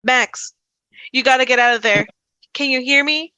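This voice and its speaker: Brief: woman as worried teen boy, high and bright, pleading, urgent, table-read drama. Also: moderate pace, no room sound, poor audio. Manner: anxious, urgent. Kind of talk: fan table read acting.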